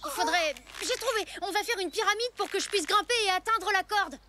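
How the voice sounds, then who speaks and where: Earnest, very high-pitched cartoon boy. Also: fairly quick. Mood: urgent. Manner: indignant, outspoken, expressive. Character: earnest, impulsive young cave boy, loyal dinosaur's friend. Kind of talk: French animated series voice acting. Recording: music under the dialogue.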